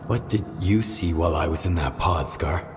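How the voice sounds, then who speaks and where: An actor playing a serious, determined stalker in a video game, terse and plain-spoken. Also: male voice, low-pitched, moderate pace, music in the background. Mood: serious, probing. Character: serious, determined male stalker questioning others. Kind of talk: video game cutscene acting. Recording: reverberant hall, clear background noise.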